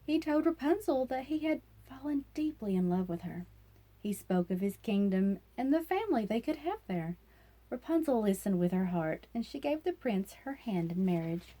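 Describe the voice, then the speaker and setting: A woman's voice: fairly high pitch, very animated, moderate pace, warm tone. A woman narrating a children's fairy tale as a storyteller, reading aloud expressively.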